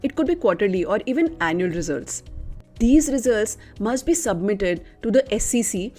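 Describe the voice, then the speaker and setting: A woman's voice: mid-range voice, animated, normal speed, dark tone. A woman reading a scripted educational narration as the narrator of an explainer video. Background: background music.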